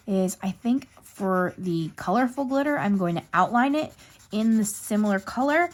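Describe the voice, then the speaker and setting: A young woman chatting casually as she colors in a craft vlog, her voice going a bit hoarse. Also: on the low side, darkish tone. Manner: rambling, chatty, narrates while coloring. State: losing her voice. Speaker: craft YouTuber.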